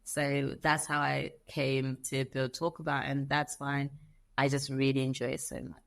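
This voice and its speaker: Low-voiced Zimbabwean woman storyteller. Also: a little slow, gravelly. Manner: animated storyteller, acts out quoted voices. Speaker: guest, lawyer. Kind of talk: conversational interview.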